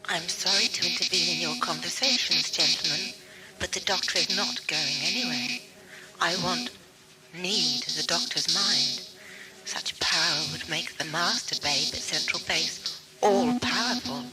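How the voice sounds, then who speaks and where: A man's voice: on the high side, unhurried, lightly acted, low-quality recording. A man playing a coldly polite, megalomaniac ship's computer in a science fiction audio drama, speaking in a formal, grandiose way. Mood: imperious. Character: megalomaniac mind-draining ship's computer, calm and menacing. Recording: music under the voice.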